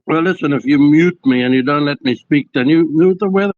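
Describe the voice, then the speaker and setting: A man's voice: fairly high pitch, a little fast, no echo. Defensive male caller, muffled dry line. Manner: defensive, stammering. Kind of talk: call-in argument. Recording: dry, muffled call-in audio.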